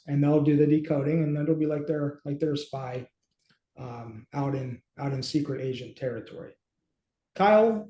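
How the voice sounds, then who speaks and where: A middle-aged man hosting a casual screen-share walkthrough, speaking haltingly as he thinks aloud. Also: on the high side, dark tone. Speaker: host and app company team member. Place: small indoor room, video call. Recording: roomy, echoey home room.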